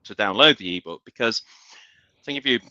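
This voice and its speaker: Male webinar expert, forceful low voice, explanatory, slightly dull call audio. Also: expressive, brisk, dry recording. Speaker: industry expert panelist. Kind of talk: conversational business webinar discussion. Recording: dull, band-limited online call audio.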